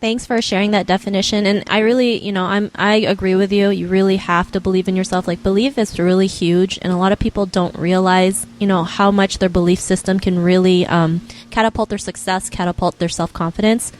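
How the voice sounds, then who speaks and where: A woman's voice: mid-range voice, little pitch movement, fairly quick, hardly any pauses, a little hiss or hum. A woman hosting an interview podcast, upbeat and affirming, with many "you know" fillers. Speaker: podcast host.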